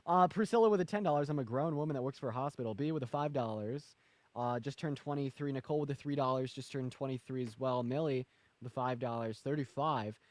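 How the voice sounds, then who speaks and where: A man's voice: medium pitch, quick pace, dry recording. A male streamer reading out donations and cheering donors, swearing freely when challenged. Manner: hype, shouts excitedly at big donations; defensive and profane when challenged. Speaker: streamer co-host. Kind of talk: live stream donation shoutouts.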